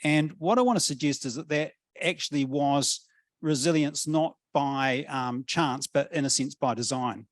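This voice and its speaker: A middle-aged man with a New Zealand accent, giving a thoughtful panel talk with many pauses and restarts. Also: fairly high pitch, dry recording, studio quality. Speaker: panel speaker, policy academic.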